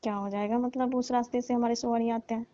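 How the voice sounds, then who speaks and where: A woman's voice: on the high side, steady tone, fast talker, mellow, close to an everyday voice. A woman playing a coaxing middle-aged aunty on a phone call, wheedling and pleading as she keeps calling him "babu". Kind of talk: scripted comedy phone call. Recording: muffled phone call recording.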